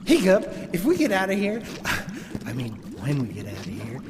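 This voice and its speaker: High-pitched, folksy nervous sidekick. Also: male voice, wildly swooping pitch, noisy background. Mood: anxious, hopeful. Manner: folksy, exclamatory drawn-out exclamations. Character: eager, folksy, nervous male sidekick, food-loving. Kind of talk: children's western audio adventure acting. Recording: cavernous reverb.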